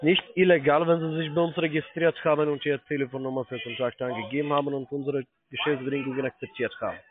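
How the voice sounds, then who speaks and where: Male call centre agent, brisk, slightly high pitch, pushy and impatient. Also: steady tone. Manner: insistent, impatient. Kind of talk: cold sales phone call. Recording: tinny narrow phone line.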